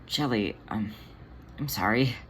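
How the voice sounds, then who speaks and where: An anxious, worn-out young female cat from an audio story , acted out by a narrator. The speech is earnest and hesitant. There is clear noise in the recording. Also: medium pitch, unhurried, a bit bright, natural voice. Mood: apologetic.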